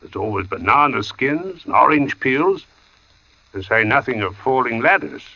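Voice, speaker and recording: A quietly menacing, plain-spoken workman in an old radio thriller. He has a piercingly forceful voice that moves animatedly in pitch, with clipped, matter-of-fact phrases. The recording is dull and dated. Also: lively intonation, moderate pace, gravelly, dry recording, full character voice. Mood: intense, insistent. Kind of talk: 1940s radio suspense acting.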